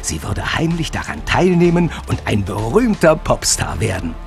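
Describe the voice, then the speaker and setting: A man's voice: mid-range voice, very animated, moderate pace, creaky, soft background music. A man reading scripted narration for an audio drama.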